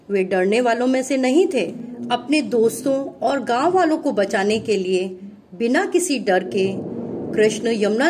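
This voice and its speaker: A woman's voice: a little high, darkish tone, slight background noise. A woman narrating a scripted children's story as the narrator of a puppet show.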